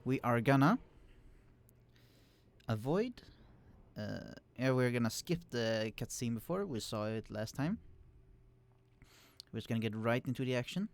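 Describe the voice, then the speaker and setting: A man talks through a strategy game as he plays, explaining units and tactics in a loose, conversational way. He pauses often with fillers and restarts his sentences. His voice sits at an ordinary middle pitch and is recorded at a quiet level. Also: expressive. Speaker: let's play host. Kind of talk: casual gameplay commentary. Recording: quiet voice, turned down to hide computer hum.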